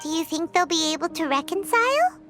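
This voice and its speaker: A woman's voice: very high voice, sing-song, fairly quick. A woman voicing a small, chatty, curious companion creature with a bubbly, childlike way of talking, over game music. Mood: musing. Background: game background music.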